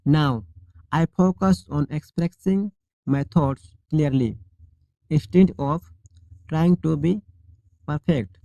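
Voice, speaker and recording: A male English teacher leading a shadowing drill, reading each model sentence slowly and deliberately, then repeating it clearly. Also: a little high, mellow, no room sound, rough recording.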